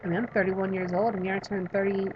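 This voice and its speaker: A woman in her thirties reading a visual novel aloud and chatting about it, emphatic while reading and quiet when talking about herself. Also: medium pitch, flat delivery, quick pace, lo-fi sound, faint music behind. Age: 30s. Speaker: let's play streamer reading a visual novel. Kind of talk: reading game text aloud and commenting.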